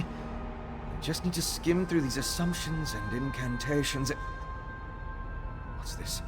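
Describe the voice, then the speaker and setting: A man acts out an earnest young scholar mage from a fantasy game. He thinks aloud, absorbed and urgent, at a middle pitch, with music clearly behind him. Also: lightly acted. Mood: absorbed, curious. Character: earnest scholarly young male mage investigating dark rituals. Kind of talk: video game voice acting.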